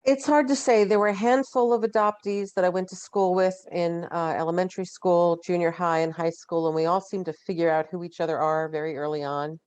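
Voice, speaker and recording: Woman in her fifties, earnest and reflective, slightly low voice, dry close sound. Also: fairly even intonation, darkish tone, dry recording. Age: 50s. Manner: earnest, reflective, pauses to search for words. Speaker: guest, genetic genealogist. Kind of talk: podcast interview.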